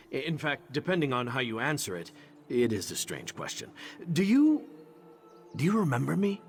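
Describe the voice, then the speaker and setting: A charming knightly champion from a fantasy game. His delivery is animated and conversational, with wry candour and some hesitation as he confides. Faint music plays behind him. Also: fairly high pitch. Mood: hesitant, earnest. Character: charming, candid male knight champion of a goddess. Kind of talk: video game character dialogue.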